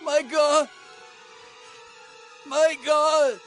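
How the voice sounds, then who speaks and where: An actor playing the crucified Jesus crying out in loud, drawn-out anguish in a dramatized audio Bible. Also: male voice, extremely high pitch, level tone, faint music behind, heavily acted.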